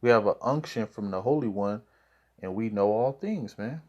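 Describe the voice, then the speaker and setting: A man's voice: lowish voice, normal speed, darkish tone, muffled recording. A man preaching informally to camera, explaining scripture in a conversational way and checking in with "all right?". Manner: conversational, with repeated "all right?" and "you know".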